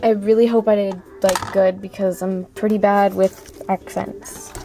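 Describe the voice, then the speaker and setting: A woman's voice: mid-range voice, level tone, measured pace, music in the background. A woman chatting casually and apologetically to online viewers as an amateur voice auditioner. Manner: self-deprecating, apologetic.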